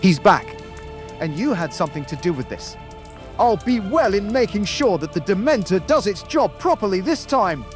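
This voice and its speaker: Stern accusing official played by a man, higher-pitched, animated, for fantasy audio drama. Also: moderate pace, faint music behind. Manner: accusing, formal. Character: stern, hostile wizard official.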